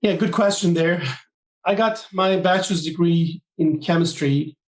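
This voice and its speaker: A man from Turkey, a guest on an interview podcast, explaining his work in measured, listing phrases. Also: high-pitched, roomy sound. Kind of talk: interview answers.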